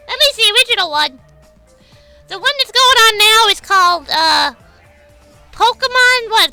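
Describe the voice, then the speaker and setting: Very high female voice, piercingly bright, gravelly, blunt sweary rambling, casual livestream chat. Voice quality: high-pitched. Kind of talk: casual stream chat, reading out and answering viewer comments.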